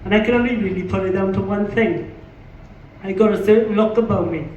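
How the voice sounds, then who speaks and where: A man's voice: high voice, fairly quick, a little mellow, a little hiss or hum. A man with a Welsh accent doing a stand-up comedy set in a pub, punchy, sweary and self-mocking. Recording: echoey pub room, distant muffled mic.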